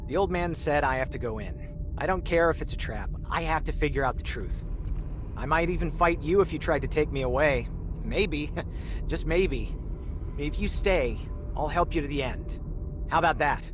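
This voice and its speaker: Male actor as playful game rogue: young, higher-pitched, informal, teasing, bold. Music under the dialogue. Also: moderate pace, close to an everyday voice. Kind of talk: video game cutscene voice acting.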